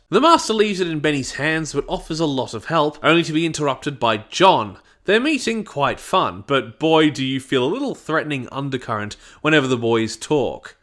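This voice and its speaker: A man's voice: mid-range voice, fairly bright tone. A man narrating a scripted review as the reviewer, with wry, jokey asides.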